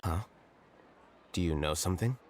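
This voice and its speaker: A man playing a cool, rational scholar in a video game, measured and detached in his delivery. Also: low-pitched, a little hiss or hum, close to an everyday voice. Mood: curious. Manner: measured, detached, matter-of-fact. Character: cool, rational, aloof male scholar-official.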